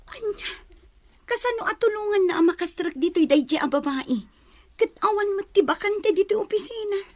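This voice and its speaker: A woman's voice: very high-pitched, animated, moderate pace. A woman with an Ilocano accent playing a worried, reproachful office-worker wife in a radio drama, earnest and pleading. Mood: startled, indignant. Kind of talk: Philippine radio drama acting.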